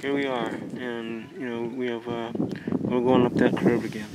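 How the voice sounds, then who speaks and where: A man's voice: mid-range voice, flat delivery, normal speed. A man showing off his sailboat on a marina dock, talking in a rambling, upbeat way with lots of fillers. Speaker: skipper of a youth sailing nonprofit. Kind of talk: casual walkthrough vlog. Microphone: handheld camera mic. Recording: loud wind and water noise outdoors. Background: wind and boat ambience.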